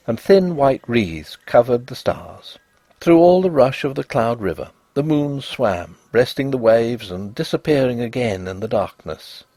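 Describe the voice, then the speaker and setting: A man reads literary fiction as a storyteller, with measured, dramatic phrasing. His mid-pitched voice has a husky grain and rises and falls widely across each sentence. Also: warm tone, dry recording. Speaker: narrator. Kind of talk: expressive literary audiobook narration of a gothic story.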